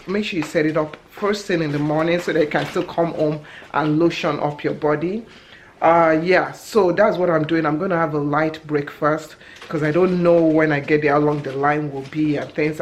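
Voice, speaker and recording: A woman's voice: deep voice, a little hiss or hum. A woman in her forties casually vlogging to camera from her home kitchen, chatty and self-correcting, laughing at herself. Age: 40s. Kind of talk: casual talking-to-camera vlog. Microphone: camera held at arm's length.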